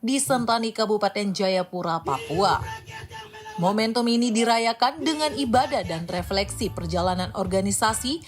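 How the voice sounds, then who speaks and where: A woman reading a scripted news narration as the reporter. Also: a little high.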